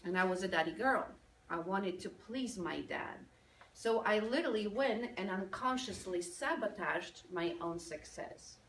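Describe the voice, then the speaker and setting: A woman from Poland with a Polish accent, giving a lively motivational business talk to a live audience. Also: medium pitch, measured pace, a little hiss or hum. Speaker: speaker. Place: event room with audience. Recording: echoey room from a distance.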